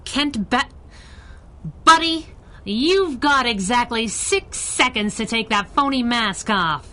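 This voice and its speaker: A woman's voice: on the high side, lively intonation, takes it slowly, pushed and bright, exaggerated voice. A woman playing a sassy, fearless, wisecracking female superhero sidekick in a 1930s-style radio adventure. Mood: irritated. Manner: sardonic, wisecracking.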